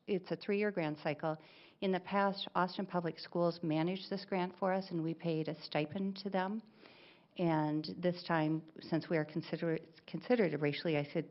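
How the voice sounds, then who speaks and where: A woman's voice: sits low, highs cut off. A woman school administrator giving a plainspoken presentation at a board meeting, stopping now and then to correct herself.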